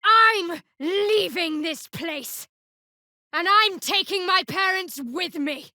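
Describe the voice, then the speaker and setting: A woman playing a guarded, sardonic young cleric of a dark goddess in a fantasy game, speaking in a measured, dry, wry way. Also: extremely high pitch, dry recording, exaggerated voice. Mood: forceful, shouting. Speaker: video game voice actor. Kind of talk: scripted fantasy game dialogue.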